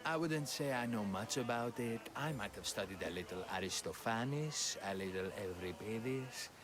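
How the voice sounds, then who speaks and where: An actor playing an affable, self-important enlightenment seeker in a video game, mannered, coy and grandly dramatic. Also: male voice, mid-range voice, animated, moderate pace, close to an everyday voice. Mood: coy, evasive. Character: affable, self-important male devotee, former actor, easily flattered. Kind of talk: video game cutscene acting. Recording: busy crowd murmur behind.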